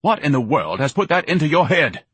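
A man's voice: mid-range voice, animated, normal speed, a bit bright, breathy edge, dry recording, pitched above the performer's own voice. A man voicing a pompous, bombastic, indignant grown son who declaims haughtily and theatrically in an audiobook. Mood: indignant bellowing.

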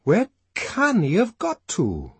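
A man's voice: sits high, wildly swooping pitch, slow pace, a bit bright, breathy edge, no room sound, pitched above the performer's own voice, exaggerated voice. A man voicing a worried young man listing places in a fretful voice.

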